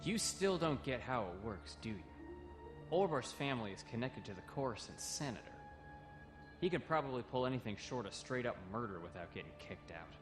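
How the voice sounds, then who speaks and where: A man's voice: mid-range voice, sing-song, fairly quick, close to an everyday voice. An actor playing a wary young male cadet who explains things in an audio comic drama. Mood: wary, exasperated. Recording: roomy echo, music behind.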